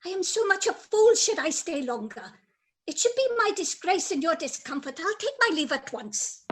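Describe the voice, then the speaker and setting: Very high-voiced consoling noble cousin, played by a woman. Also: big pitch swings, normal speed. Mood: consoling, worried. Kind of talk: amateur Shakespearean radio play.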